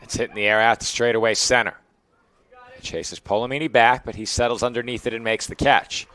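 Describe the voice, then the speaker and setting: A young man with a hoarse, raspy voice, calling baseball play-by-play pitch by pitch. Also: brisk. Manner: steady, calls each pitch and count. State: hoarse, losing his voice. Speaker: play-by-play announcer.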